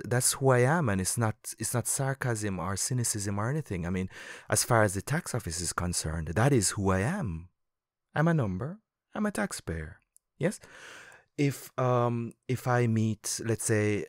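A man hosting his own podcast, talking in a relaxed conversational way with lively emphatic rises. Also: no echo, studio quality. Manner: animated, rising to high emphatic tones. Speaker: podcast host.

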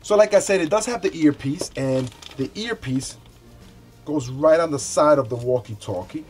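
A man's voice: fairly high pitch, moderate pace, a little mellow. Casual man reviewing gear over music. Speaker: product reviewer, show host. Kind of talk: casual unscripted unboxing review. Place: small indoor room with shelves.

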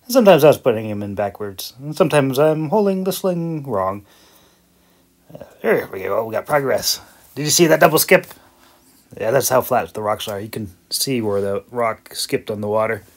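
A man's voice: mid-range voice, animated, moderate pace. A man giving a casual, rambling vlog voice-over in a hesitant, self-deprecating, chuckling way. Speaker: video creator and hobby audiobook narrator.

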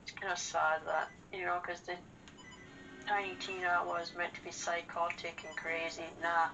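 A woman's voice: on the low side, level tone, moderate pace, poor audio. A woman chatting casually and bluntly as co-host of a gaming session, swearing now and then. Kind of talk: casual chat during a video game session. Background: video game music.

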